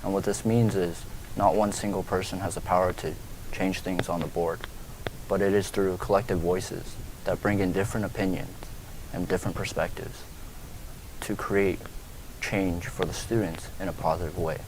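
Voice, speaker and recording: A young man running for school board, speaking in a steady way with some fillers. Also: deep voice, moderate pace. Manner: steady, flat delivery with fillers. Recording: faint background noise.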